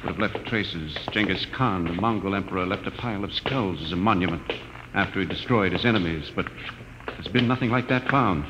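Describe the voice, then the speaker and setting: An actor playing an earnest, fascinated space-expedition scientist, thoughtful and explanatory, in old radio drama style. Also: male voice, sits low, brisk, lightly acted. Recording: dull, noisy radio recording with long reverb.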